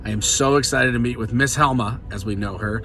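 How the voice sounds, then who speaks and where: A man's voice: mid-range voice, brisk, a bit bright, slight background noise. A man hosting a vlog, upbeat and enthusiastic in casual chat. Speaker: host. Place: parked car, then outdoor garden.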